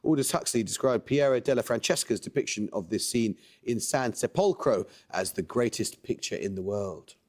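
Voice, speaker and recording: Man, quiz host, measured and precise, mid-pitched voice, formal reading. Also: a little mellow.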